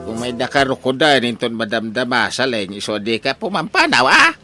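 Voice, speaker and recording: A man's voice: mid-range voice, big pitch swings, normal speed, loud music behind the voice, natural voice. A man with a Filipino accent playing a stubborn, blustering old father in a comedy radio drama, full of exclamations. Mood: cheerful, bossy. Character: excitable, stubborn old father smitten with a young bride.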